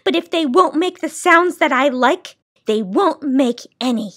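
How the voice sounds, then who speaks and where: A woman's voice: high voice, sing-song, normal speed, a bit bright, pitched above the performer's own voice. A woman reading a storybook as a fussy, imperious, wistful female keeper of sounds, with dramatic, bossy emphasis. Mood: indignant, stubborn.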